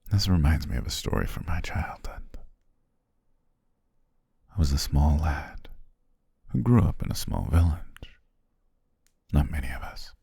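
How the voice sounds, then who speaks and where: A man playing a courteous, self-amused demon king in an ASMR roleplay, speaking in a genteel, formal way with a husky, breathy voice. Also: dry recording, exaggerated voice. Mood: nostalgic, wistful. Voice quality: very deep, husky, gravelly, often breathy near whisper. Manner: leisurely, genteel, archly formal with soft chuckles.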